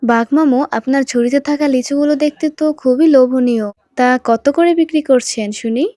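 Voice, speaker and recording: A woman voicing a weary, poor mother in a children's cartoon, explaining and gently scolding in an exasperated but affectionate way. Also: high voice, a little fast, dry recording, close to an everyday voice.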